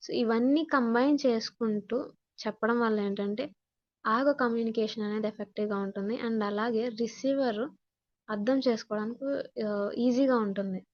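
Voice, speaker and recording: Female teacher, Telugu accent, fairly high pitch, explanatory lecture delivery mixing in English, dull low-fidelity class recording. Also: steady tone, moderate pace. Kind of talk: online class lecture, reading points in English then explaining. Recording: dull, muffled lo-fi audio.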